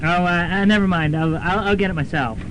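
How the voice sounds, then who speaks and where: A man's voice: fairly quick, close to an everyday voice. A man playing a flustered English professor in a radio comedy, stammering and fussing through hesitant lines. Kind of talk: 1990s student radio comedy drama. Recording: rumbling, noisy college radio tape.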